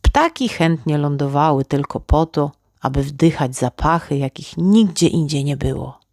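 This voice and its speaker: A woman reading a children's fairy tale as an expressive narrator. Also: deep voice, no room sound, studio quality. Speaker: children's story reader.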